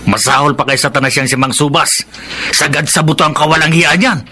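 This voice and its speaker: Emeng, a bright-voiced, worried workmate. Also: male voice, on the high side, steady tone, a little fast, breathy edge. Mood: confiding, wary. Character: Emeng, concerned male construction worker warning his friend. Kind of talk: Filipino radio drama acting.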